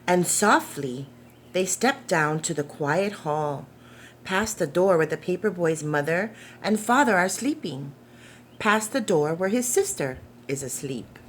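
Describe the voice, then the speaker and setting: A woman reading a picture book aloud to young children in a gentle, deliberate, expressive way, with questions put to the listeners. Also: on the low side, a little hiss or hum. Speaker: storytime reader for preschoolers.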